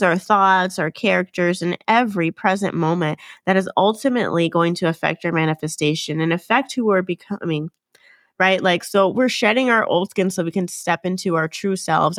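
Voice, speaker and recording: A woman's voice: lowish voice, no room sound, clean studio sound. A woman hosting her own podcast, talking casually to listeners, sounding nasal from a cold and often correcting herself. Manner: chatty and upbeat, fillers and self-corrections. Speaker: podcast host. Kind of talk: casual solo podcast talk.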